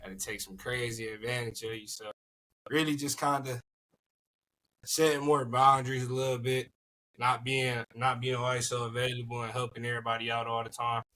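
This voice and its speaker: A young college football player from Cleveland talking in a relaxed, reflective way, often adding "you know what I'm saying.". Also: male voice, medium pitch, normal speed, low-quality recording. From Cleveland, Ohio. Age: young adult. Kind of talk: casual podcast chat.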